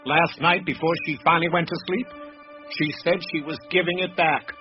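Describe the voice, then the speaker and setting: A man's voice: fairly high pitch, fairly even intonation, moderate pace, close to an everyday voice. An actor playing an agitated, protective middle-aged father, a professor, with indignant sarcasm in a radio drama. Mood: anxious, insistent. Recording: dull lo-fi radio drama with music underneath.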